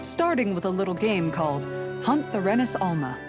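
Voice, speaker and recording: A composed, analytical young woman of a fantasy game , played by an actress, with a mid-range voice. She talks in a measured, wry way with faint music underneath. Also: mid-range voice, very animated, natural voice. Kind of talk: dramatic English game dub acting.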